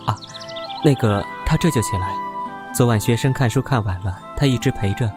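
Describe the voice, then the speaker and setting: Casting: male actor as soft-mannered, flustered young scholar, medium pitch, hesitant delivery, audio drama with music. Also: normal speed, close to an everyday voice. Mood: flustered, apologetic. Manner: hesitant, mild, apologetic. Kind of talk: Chinese fan audio drama acting.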